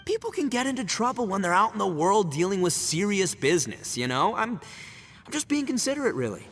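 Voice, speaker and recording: A man's voice: sits high, big pitch swings, a little fast, fairly bright tone, faint music behind, exaggerated voice. A man playing a brash, boisterous young gang boss in a video game, with a swaggering, slangy, theatrical delivery. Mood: flustered, defensive. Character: brash boisterous young male gang boss, eager self-styled rescuer. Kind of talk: animated video game voice acting.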